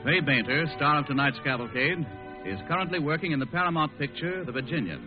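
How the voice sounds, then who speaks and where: A man announcing on 1940s radio, reading a scripted sponsor message in a husky voice. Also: on the high side, lively intonation, fast, music in the background. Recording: lo-fi old 1940s radio broadcast.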